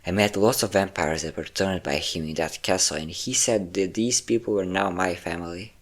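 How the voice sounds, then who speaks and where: A man's voice: sits low, normal speed. A man playing a possessive, obsessive vampire lover, vain and cruel, in an intimate, theatrical roleplay monologue with lingering words and sighs. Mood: wounded, wistful.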